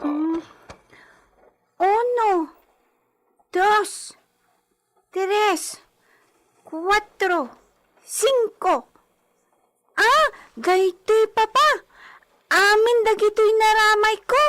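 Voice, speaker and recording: Very high-pitched curious little girl. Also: a bit bright, full character voice. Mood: eager, curious. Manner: childlike, simple questioning, counts slowly. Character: little girl, eager, curious young daughter. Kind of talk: Filipino audio drama acting.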